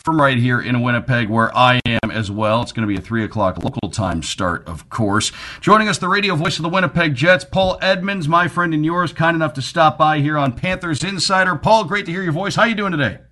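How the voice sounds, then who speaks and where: A man's voice: on the high side, animated, a little fast, hardly any pauses, bright, forceful tone. A man hosting a sports radio show with a punchy broadcast delivery.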